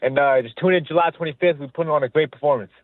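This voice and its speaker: A man's voice: on the high side, very fast, dry recording. Young man from Pakistan on a phone line. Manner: casual, repeats himself, confident. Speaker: boxer guest. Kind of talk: phone interview. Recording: phone-like narrow line, dry.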